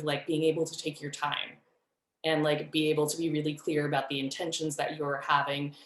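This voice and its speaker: A woman speaking thoughtfully and haltingly on a panel, repeating phrases as she searches for words. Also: low voice. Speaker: director on a talkback panel. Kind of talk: panel discussion, reflective talk. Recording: roomy, echoing sound.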